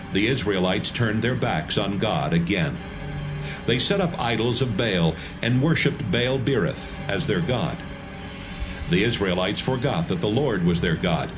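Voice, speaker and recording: A man narrating a dramatised Bible reading in a steady, measured storytelling manner. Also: on the low side. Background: music score.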